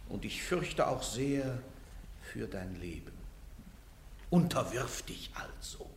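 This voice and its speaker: An actor playing a cleric who pleads with a condemned woman to submit, shifting from soft to insistent. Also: medium pitch, big pitch swings, slow, roomy sound, slight background noise. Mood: pleading. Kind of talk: radio play acting.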